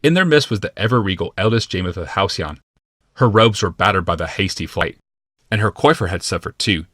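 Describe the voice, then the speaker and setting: A man narrating a fantasy audiobook from a script in a measured, deliberate and dramatic manner. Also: low voice, animated, a bit bright, no room sound.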